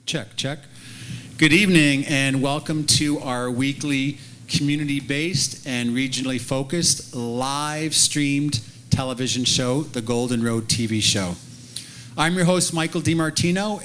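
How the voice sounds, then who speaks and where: A man's voice: mid-range voice, fairly bright tone, slight background noise. A man hosting a live community television show, opening with upbeat announcements and frequent fillers like "uh". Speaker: TV show host.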